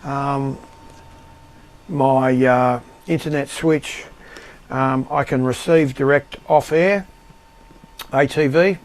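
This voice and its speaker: A man giving an unscripted show-and-tell of his projects as an amateur radio operator, speaking in a halting, matter-of-fact way. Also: medium pitch, fairly even intonation. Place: indoor home radio shack.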